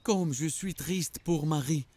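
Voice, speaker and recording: Slow, high-voiced devout townsman. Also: mellow, no echo. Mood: troubled, doubting. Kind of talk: animated religious drama acting.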